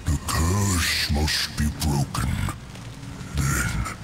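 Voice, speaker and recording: An actor playing an ancient, sorrowful giant stone guardian in a video game, solemn and measured. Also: male voice, extremely low pitch, lively intonation, heavily acted. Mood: solemn regret. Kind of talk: video game cutscene acting. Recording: loud music behind the voice.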